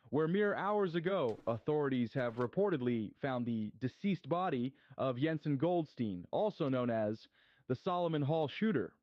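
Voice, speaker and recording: A man's voice: medium pitch, no echo, muffled recording, natural voice. A man playing a news reporter in an analog horror animation, delivering a scripted report with formal announcer phrasing.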